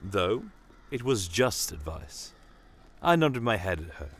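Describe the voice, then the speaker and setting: A man's voice: deep voice, big pitch swings, slow, husky. A man reading scripted first-person dramatic narration for a fantasy story podcast.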